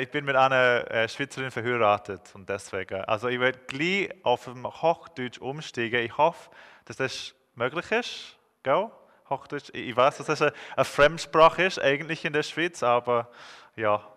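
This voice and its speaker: A man in his thirties with an English accent delivers a sermon in a friendly, joking way, pausing often to hesitate. His voice is clear and forceful. It rings out with a long reverb, as in a large hall. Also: medium pitch. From England. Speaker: guest preacher.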